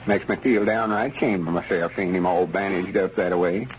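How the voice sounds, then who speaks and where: A man's voice: low-pitched, fairly even intonation, slight background noise, lightly acted. Man acting folksy old storekeeper, muffled radio recording. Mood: rueful. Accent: rural Southern US. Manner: folksy, drawn-out country talk. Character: earnest, plain-spoken old country storekeeper, proud but forgiving. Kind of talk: 1940s radio comedy acting.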